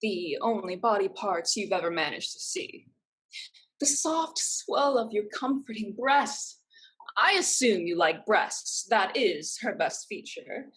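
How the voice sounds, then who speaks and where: A woman's voice: medium pitch, animated, a little slow, fairly bright tone, low-quality recording. A woman acting in a stage play reading as a proud, streetwise young woman who is protective of her sister. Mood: wry, teasing.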